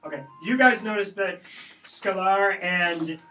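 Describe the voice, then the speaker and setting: Higher-pitched man, distant from the mic. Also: a little slow, bright, forceful tone, faint music behind. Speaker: game master. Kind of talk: casual improvised game narration. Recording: distant mic with clear background noise.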